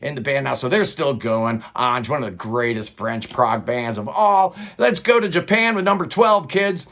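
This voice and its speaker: A man's voice: mid-range voice, lively intonation, normal speed, a bit bright. An older man hosting a prog rock countdown from a record-filled home studio, talking in a loose, enthusiastic, casual way with plenty of fillers. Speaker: host of a prog rock channel.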